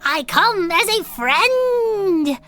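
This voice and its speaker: A woman voicing a creepy, needy vegetable-man cryptid with slow, stilted speech in a comic audio fiction show. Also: extremely high pitch, animated, sharp tone, faint music behind, voice pushed up, exaggerated voice. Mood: eerie, pleading. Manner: slow, stilted, foreign-sounding phrasing.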